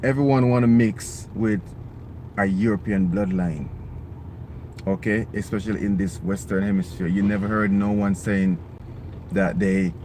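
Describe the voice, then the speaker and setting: A man's voice: fairly low pitch, fairly even intonation, unhurried. A man vlogging live, speaking earnestly and with emphasis, often ending points with "right?" or "okay?". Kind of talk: live-streamed monologue. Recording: loud outdoor traffic noise.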